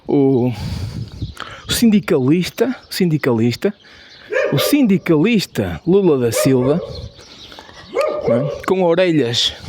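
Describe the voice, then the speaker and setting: A man with a European Portuguese accent giving an unscripted opinion monologue, halting and repetitive with many restarts and emphatic stress. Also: on the high side, background noise. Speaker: video commentator.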